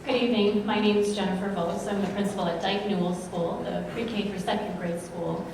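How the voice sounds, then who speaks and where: A woman school principal giving a prepared, measured presentation at a school board meeting. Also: medium pitch, little pitch movement. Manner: measured, explanatory. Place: school auditorium. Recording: echoey hall from far off, noisy.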